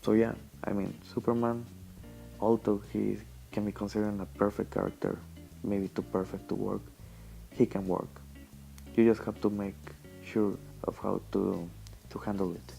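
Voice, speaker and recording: Young adult male, gravelly and dark-toned, meandering casual audio-log delivery, faint guitar underneath. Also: fairly low pitch, flat delivery, normal speed, low-quality recording. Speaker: vlogger. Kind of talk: casual rambling audio diary. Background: quiet plucked guitar music.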